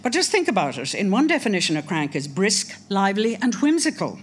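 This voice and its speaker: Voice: medium pitch, very animated, fairly quick, husky. A speaker from Ireland, giving a prepared talk in a wry, emphatic manner with ironic asides. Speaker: speaker on a writer. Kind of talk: prepared talk, read aloud.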